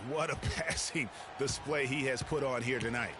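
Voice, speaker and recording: A man's voice: mid-range voice, normal speed, very noisy, natural voice. A man playing a basketball color analyst in a video game, talking in a conversational, approving way. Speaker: color commentator. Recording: game stream with loud music and court sounds. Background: loud music, basketball court sounds.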